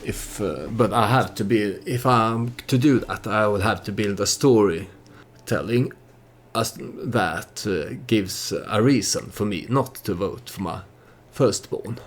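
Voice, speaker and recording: A man giving casual storytelling commentary over a strategy game, hesitant and halting as he thinks aloud. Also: lowish voice. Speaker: let's play YouTuber narrating his strategy game.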